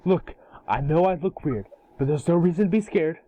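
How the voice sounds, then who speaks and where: A man voicing a cocky, excitable boy hero with casual kid slang in a cartoon table read. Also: a little high, normal speed, muffled recording. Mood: defensive. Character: cocky, excitable boy turned fire alien. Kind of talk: animated series table read.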